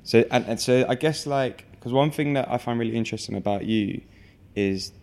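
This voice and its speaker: A man's voice: mid-range voice, brisk, darkish tone. A man hosting an interview, asking long rambling questions full of restarts. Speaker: podcast host. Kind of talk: casual interview chat.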